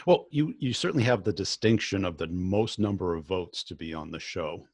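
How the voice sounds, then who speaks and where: A man's voice: deep voice, lively intonation, a little mellow, husky, no room sound, studio quality. A man hosting a sales podcast, asking questions in a casual, joking way. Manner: casual, joking, quick interjections. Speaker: podcast host. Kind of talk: interview conversation.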